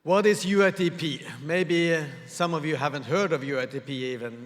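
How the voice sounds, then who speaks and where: A man from Norway gives a formal conference presentation in English, measured and pausing often to find his words. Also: fairly high pitch, steady tone, fairly bright tone. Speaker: conference speaker. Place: large conference hall. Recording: reverberant hall with long echo.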